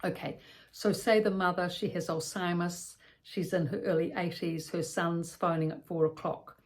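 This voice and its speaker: A woman's voice: fairly low pitch, steady tone, moderate pace. A woman giving an instructional talk as a care educator, explaining step by step with practical examples and repeated points.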